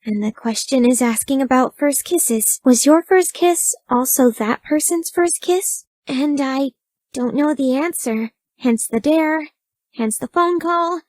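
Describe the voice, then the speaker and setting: A woman's voice: sits high, unhurried, a little mellow, dry recording. A young woman voicing a shy, bookish, easily flustered dating-game heroine, sweetly awkward and hesitant. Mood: nervous, embarrassed. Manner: hesitant, breathy stammering, girlish and earnest. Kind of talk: video game dating-sim voice acting.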